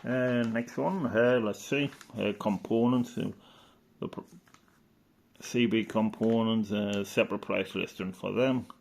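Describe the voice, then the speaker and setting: A man with a slightly deep, noticeably creaky voice talks casually as he browses and reads from a catalogue. He rambles and hesitates, thinking aloud between items. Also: fairly even intonation, a little slow, darkish tone, a little hiss or hum. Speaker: video presenter. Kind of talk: casual commentary while reading through an old catalogue.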